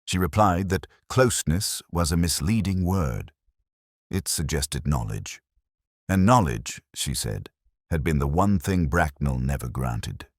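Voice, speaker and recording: A man with an exceptionally deep voice narrates a literary mystery in the first person. His delivery is measured and brooding, yet the intonation moves widely. The sound is dry and close, with no room around it. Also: big pitch swings, no room sound.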